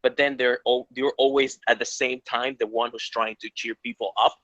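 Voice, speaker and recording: A man's voice: mid-range voice, flat delivery, normal speed, fairly bright tone, no echo. Earnest man talking about comics. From Ecuador. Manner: earnest, rambling, lots of restarts. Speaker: interviewee. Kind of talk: casual interview chat. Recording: dull lo-fi sound.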